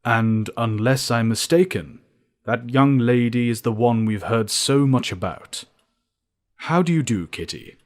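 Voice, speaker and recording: A man's voice: medium pitch, measured pace, natural voice. A man playing a composed, formal and courteous professor in an audio drama.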